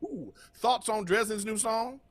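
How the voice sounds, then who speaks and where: A man's voice: sits high, measured pace, no room sound, clean studio sound. An actor playing a brash, showy male radio host at a comedy table read. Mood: hype.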